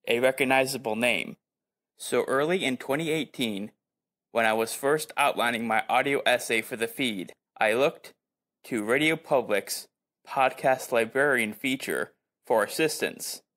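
A man's voice: medium pitch, a bit bright. Man reading a scripted podcast review. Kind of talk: scripted audio review narration.

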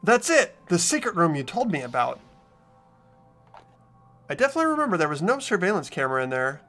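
A man playing an earnest, anxious teenage schoolboy in an anime game fan dub, with an expressive, worried delivery. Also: sits high, brisk, soft background music, full character voice. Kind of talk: visual novel fan dub voice acting.

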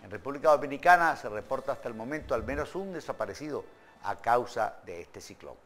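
A man's voice: mid-range voice, expressive, fast talker, a bit bright, faint music behind. Joking older male TV host. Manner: jokey, bursts into laughter during ad reads. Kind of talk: news reading and joking ad reads. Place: TV studio. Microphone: studio mic.

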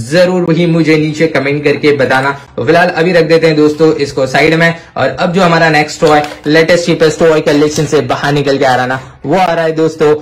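A man hosting a toy showcase, talking to viewers with excitable, repetitive exclamations. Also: on the high side. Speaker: toy review video host. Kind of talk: enthusiastic toy showcase commentary addressed to viewers. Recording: clear background noise with clicks.

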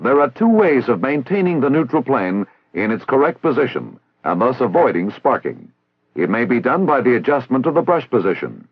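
An American man reading scripted instructional narration in a formal, measured, didactic way, as on a 1960s training film soundtrack. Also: mid-range voice, sing-song, husky, no echo, phone-quality sound. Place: recorded voice-over.

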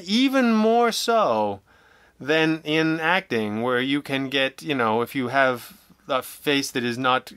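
A man speaking as a guest in a casual interview, wry and self-deprecating, telling things in an animated way. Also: mid-range voice, smooth, no echo. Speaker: interview guest, audio drama writer and producer.

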